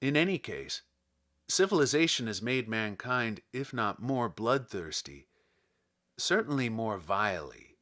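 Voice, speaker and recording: Guy reading a classic novel audiobook, medium pitch, formal and deliberate, stresses his points like an argument. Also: sing-song, a bit bright. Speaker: audiobook reader. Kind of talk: literary audiobook narration of a first-person monologue.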